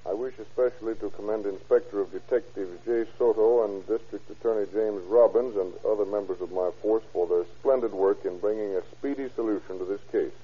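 A man's voice: fairly low pitch, brisk, hardly any pauses, slightly rough. A man speaking as a sheriff and guest, giving a stiff, earnest, formal scripted radio address. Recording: tinny, phone-like old radio recording.

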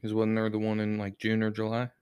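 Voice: mid-range voice, monotone, fast, darkish tone, clear, resonant tone, lo-fi sound. A podcast co-host speaking casually, in a slangy, wry, joking way.

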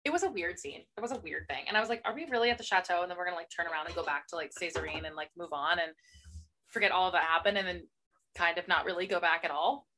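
A woman's voice: lowish voice, quick pace, sharp tone, slight background noise. A young woman co-hosting a casual book podcast, chatty and sarcastic, mimicking characters as she talks. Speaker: podcast co-host. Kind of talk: casual chat about books.

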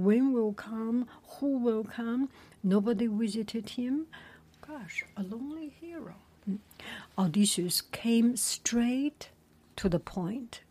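Breathy whispering woman reading a story. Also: fairly high pitch, warm tone. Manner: whispering, with chatty asides to the listener.

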